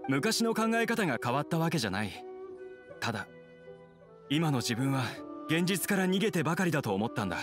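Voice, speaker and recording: A man playing a gentle, wistful young swordsman in a Japanese anime game. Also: a little high, sing-song, brisk, long pauses, music in the background. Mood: reflective.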